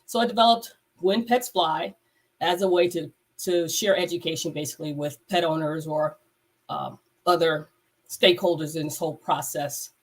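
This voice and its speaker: A woman's voice: low-pitched, normal speed. A woman veterinarian hosting a casual live stream, her voice congested, talking in a rambling way with many fillers and restarts. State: congested from a cold. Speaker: veterinarian and podcast host. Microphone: video call.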